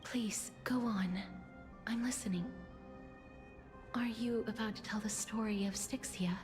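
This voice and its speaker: An actress playing a gentle, solemn young alchemist in a video game, speaking softly and formally in measured phrases. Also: female voice, on the high side, natural voice. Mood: gentle, reassuring. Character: gentle solemn young woman, alchemist guiding souls of the dead. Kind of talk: scripted video game voice acting. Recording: game audio with music behind.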